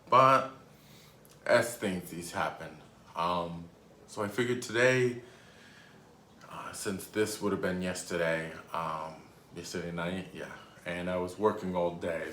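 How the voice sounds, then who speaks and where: A man's voice: low voice, pushed and bright. A man in his thirties from Rhode Island, telling a casual, rambling storytime with many hesitations. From Rhode Island, USA. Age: 30s. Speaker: YouTuber. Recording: echoey room, faint background noise.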